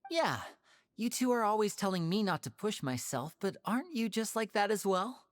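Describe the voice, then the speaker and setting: A woman voicing a gracious, loyal young elder brother in a game, speaking in a polite, measured, formal way. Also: mid-range voice, big pitch swings, no room sound, close to an everyday voice. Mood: gently concerned. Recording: game music behind the voice.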